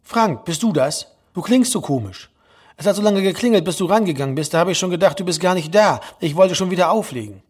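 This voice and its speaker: A man's voice: sits high, lively intonation, fairly quick, husky. A man voicing a nagging, self-righteous mother of a grown son, scolding and insistent, in an audiobook. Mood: insistent, reproachful. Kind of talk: audiobook character voice.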